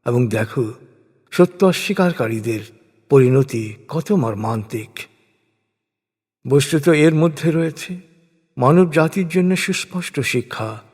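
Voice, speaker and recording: A man gives a solemn, scripted reading of translated scripture in measured, declamatory phrases. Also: medium pitch, darkish tone, slightly rough. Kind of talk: solemn scripted religious recitation of translated verses. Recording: long hall-like reverb.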